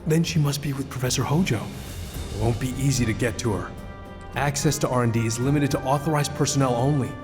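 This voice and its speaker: Male actor as pragmatic corporate insider, world-weary, medium pitch, hall reverb, video game cutscene. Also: quick pace, over music, lightly acted. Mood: businesslike, wary.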